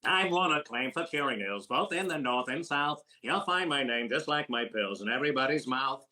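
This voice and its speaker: Guy doing a fast-talking sharp-toned quack doc, old radio comedy, deadpan jokes. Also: medium pitch. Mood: singing. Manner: rapid wisecracking patter, punchlines delivered deadpan. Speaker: actor playing a comedian in a radio recreation. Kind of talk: old-time radio comedy with sung patter.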